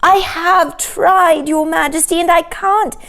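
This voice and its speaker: A woman's voice: extremely high pitch, fairly even intonation, slow, creaky, a bit bright, voice pushed up, exaggerated voice. A woman voicing a distressed, polite red cow in a storybook, plaintive and earnest. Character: distressed, polite, simple red cow who cannot stop dancing.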